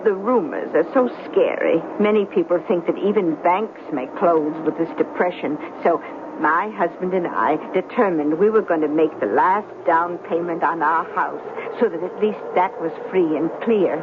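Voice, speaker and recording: A woman acts out a kindly, trusting old lady in a radio play. She is fluttery and earnest, often hesitating. The sound is a thin old broadcast with clear noise behind it. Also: lowish voice, normal speed, narrow, tinny audio, close to an everyday voice. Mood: confiding, earnest. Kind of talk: 1970s radio drama acting.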